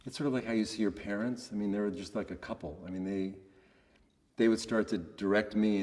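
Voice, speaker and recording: A man giving a casual, reflective interview in a monotonous voice, hesitant and understated as he searches for words. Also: deep voice, in a large hall. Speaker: voice actor being interviewed.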